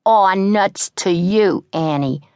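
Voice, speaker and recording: A tough teenage orphan bully, acted out by a woman narrator for a storybook. She is brash, snappish and combative, pitched up and forceful, in slangy talk. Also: slow, smooth, no echo, clean studio sound. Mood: snappish, defiant. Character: bullying fourteen-year-old orphan girl, brash and hot-tempered.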